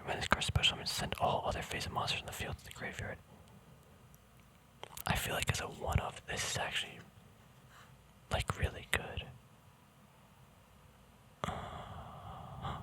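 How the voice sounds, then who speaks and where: A man speaks entirely in a hushed whisper, as in an ASMR video. He rambles casually through a show-and-tell, musing and hesitating, often letting a thought trail off before picking up the next. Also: poor audio. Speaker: ASMR video creator showing a trading card deck.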